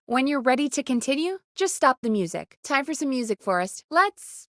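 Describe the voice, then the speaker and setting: A woman voicing a video game character, a brisk, wry late-night radio producer who talks in a bossy, teasing, conversational way. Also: high voice, big pitch swings, fairly bright tone, no room sound. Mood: brisk, matter-of-fact. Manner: bossy, teasing, dry sarcasm. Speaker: radio show producer giving cues.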